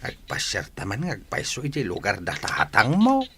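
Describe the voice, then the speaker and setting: A man's voice: on the low side, huge pitch swings, normal speed, fairly bright tone, a little hiss or hum, full character voice. A man playing a kindly, patient old village grandfather in a radio drama, with an Ilocano Filipino accent.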